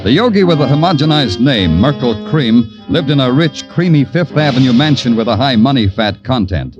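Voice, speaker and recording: An actor playing a wisecracking, hard-boiled New York police detective in an old radio drama, sardonic in dialogue and florid in narration. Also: male voice, medium pitch, expressive, normal speed, dull audio, music in the background, lightly acted. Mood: wry. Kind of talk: 1940s-50s radio detective drama acting.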